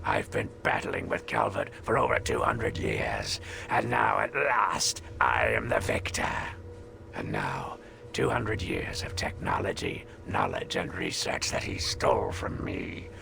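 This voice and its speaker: An actor playing a bitter, vengeful and ancient man in a video game, sneering and snarling with theatrical contempt in a gravelly voice. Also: extremely low pitch, wildly swooping pitch, measured pace, pushed and bright, a little hiss or hum, heavily acted. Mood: gloating, triumphant. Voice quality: gravelly, creaky. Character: bitter, vengeful centuries-old man, contemptuous and foul-mouthed. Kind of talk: video game character acting. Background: game music.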